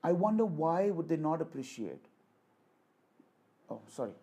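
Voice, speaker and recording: A man with a husky, mellow voice pitched a little high delivers a political speech. He is declamatory and deliberate, framing points as rhetorical address and leaning on repetition for emphasis. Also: sing-song. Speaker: orator reading a voice sample. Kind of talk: rhetorical political speech, rehearsed with repeated takes.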